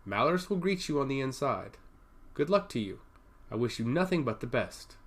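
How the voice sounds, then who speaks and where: A man voicing a brisk, self-assured young woman priest in a fantasy audiobook, formal and matter-of-fact. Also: medium pitch, very animated.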